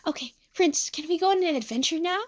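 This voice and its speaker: A woman's voice: extremely high pitch, lively intonation, fairly quick, dry recording, clean studio sound, pitched above the performer's own voice. A woman playing a small, shy bunny plushie toy with a hesitant, childlike way of talking. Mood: friendly, eager.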